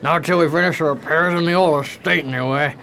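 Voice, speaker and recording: Man as a gravelly, high-pitched folksy husband. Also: fairly even intonation, fast talker, bright, forceful tone, slight background noise, rough recording. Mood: hearty. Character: folksy, genial American husband and host. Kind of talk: radio drama acting.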